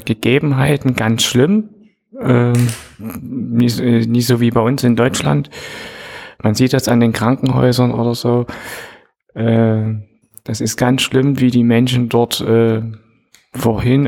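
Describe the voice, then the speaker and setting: A man hosting a podcast discussion, speaking haltingly with many fillers and restarts. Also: mid-range voice, creaky, darkish tone. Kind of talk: casual discussion.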